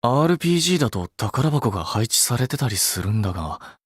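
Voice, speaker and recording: An actor playing a blunt, practical young male idol in a game, deadpan and casual with an awkwardly kind streak. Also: medium pitch, brisk, breathy edge, no echo, studio quality. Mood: dry, practical. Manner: deadpan, casual clipped speech. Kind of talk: Japanese mobile game voice acting.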